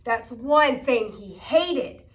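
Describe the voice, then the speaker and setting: A woman's voice: on the high side, expressive, extremely slow. A woman reading a children's story aloud expressively, leaning dramatically on repeated words. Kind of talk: expressive children's picture-book read-aloud.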